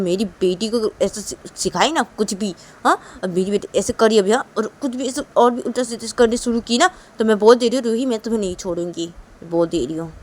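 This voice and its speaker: A woman playing an angry, accusing father, protective of a daughter, scolding in halting, repetitive threats in an amateur story. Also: medium pitch, a little mellow, natural voice.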